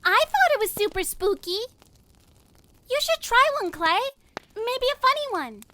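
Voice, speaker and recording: A woman's voice: very high-pitched, big pitch swings, unhurried, full character voice. A woman voicing a small, eager, childlike cartoon creature on a noisy home recording.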